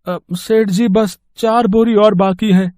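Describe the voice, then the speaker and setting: A man's voice: sits high, steady tone, slow pace, darkish tone, no echo, studio quality. A man voicing a poor village labourer, a caring husband and father, earnest and fretful, in a moral-story cartoon. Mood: humble.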